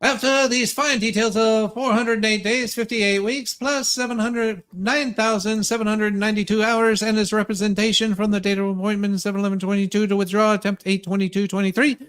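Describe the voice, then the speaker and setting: A woman co-host giving a dramatic reading of wordy letters, sometimes halting over the phrasing. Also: mid-range voice, smooth. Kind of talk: dramatic reading with brief asides.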